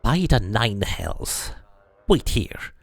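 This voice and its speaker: A man's voice: lowish voice, sing-song, very slow, no room sound, pitched above the performer's own voice, full character voice. A man voicing a tiny, wizened, fidgety man in his nineties, an evasive official with fussy, old-fashioned formal speech for a game. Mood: irritated, scornful.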